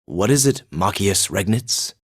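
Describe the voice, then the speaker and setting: A haughty young aristocratic student from a video game, with a fairly low voice and a close, dry sound. He is composed and perceptive, choosing his words formally and keeping an aloof distance. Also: lively intonation, breathy edge, no echo. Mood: curt. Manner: formal, measured, aloof diction. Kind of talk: English video game voice acting.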